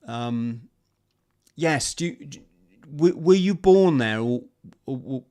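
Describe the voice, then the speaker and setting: Brief: male podcast host, smooth clear voice, casual and halting. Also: medium pitch, lively intonation, mellow. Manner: hesitant, many restarts and fillers. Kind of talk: casual interview chat.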